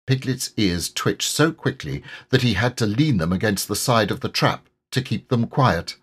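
A man narrating a children's storybook in an expressive read-aloud style. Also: on the low side, normal speed, husky. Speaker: audiobook narrator and voice actor.

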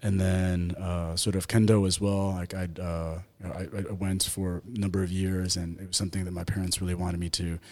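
A man's voice: low-pitched, flat delivery, brisk, few pauses, darkish tone. A middle-aged man from Taiwan, a podcast guest answering questions thoughtfully with many ums and uhs. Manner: thoughtful, many hesitations and fillers. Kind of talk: relaxed conversational interview.

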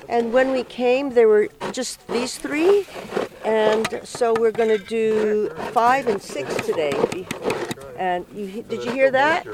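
Older woman speaking at an unhurried pace. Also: fairly high pitch, background noise. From Honolulu, Hawaii. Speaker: retired volunteer being interviewed. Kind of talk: informal on-site interview.

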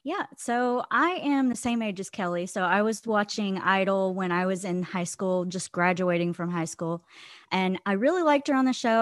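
A woman in her thirties from Georgia, a podcast guest chatting in an animated way. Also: medium pitch, no echo, studio quality. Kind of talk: casual interview.

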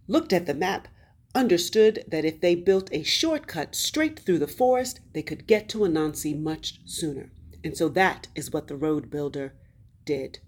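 A woman storyteller with a husky, lowish voice takes her time over a folktale. She narrates expressively as host, stretching key words and leaving dramatic pauses between phrases. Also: a little mellow.

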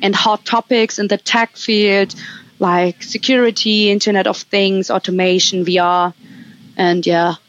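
A woman from Germany, a project manager, giving earnest, measured career advice as a podcast interview guest. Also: medium pitch, little pitch movement, muffled recording. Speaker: project manager at a recruitment firm.

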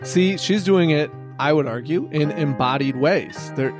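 Male co-host, fairly high voice, casual bantering delivery, self-deprecating; guitar music clearly behind. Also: measured pace. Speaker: podcast co-host. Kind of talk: casual podcast chat.

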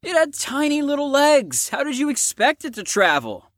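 A woman's voice: sits high, very animated, moderate pace, background noise. A woman playing a brash, crude, flippant psychiatric patient in an audio drama, with a theatrical, swaggering manner. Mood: cocky, flippant.

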